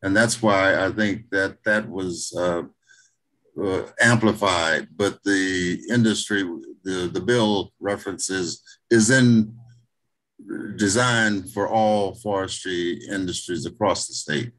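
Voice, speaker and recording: A man testifying at a hearing over a video call, halting, with many fillers and restarts. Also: low voice, fairly bright tone, rough recording. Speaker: state representative presenting a bill.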